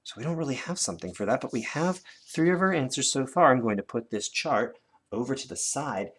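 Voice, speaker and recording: Animated, husky North American male teacher. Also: medium pitch, a little fast. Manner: animated, guiding with questions as if to children. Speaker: teacher presenting a lesson. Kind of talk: conversational instructional demonstration for children. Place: indoors at a desk, hands-on demonstration filmed from above.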